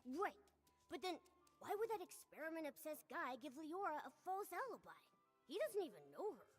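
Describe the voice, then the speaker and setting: A woman voicing a small, cute, excitable companion creature in a video game, perky, inquisitive and cheeky. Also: very high-pitched, normal speed, in a large hall, faint music behind. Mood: puzzled. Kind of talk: game voice acting.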